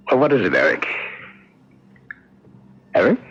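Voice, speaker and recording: An actor playing a cultured, talkative condemned murderer, once a professor, with polished, theatrical speech in a 1970s radio drama. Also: male voice, medium pitch, fairly bright tone, husky, full character voice. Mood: genial. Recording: dull lo-fi old radio broadcast.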